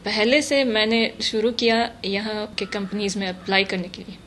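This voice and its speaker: A woman giving measured, thoughtful interview answers, mixing English terms into her speech. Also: medium pitch. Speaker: interview guest, translator. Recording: noticeable steady background noise.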